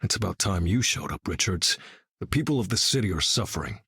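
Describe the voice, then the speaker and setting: An actor playing a grim, battle-hardened vigilante leader, dry and deadpan, in video game dialogue. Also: male voice, deep voice, fairly quick, breathy edge, no echo, heavily acted. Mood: somber. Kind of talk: video game character voice lines.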